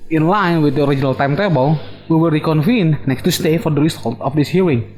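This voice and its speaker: A man's voice: on the high side, a little mellow, natural voice. A man playing a formal, officious convener of a hearing in a radio drama. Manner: formal, measured.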